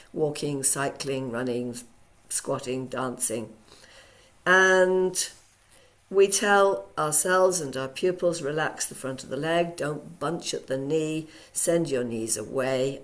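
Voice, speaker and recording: Croaky, low-voiced woman teaching online. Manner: chatty with frequent ums. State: hoarse from a cold. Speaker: Alexander Technique teacher. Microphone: video call.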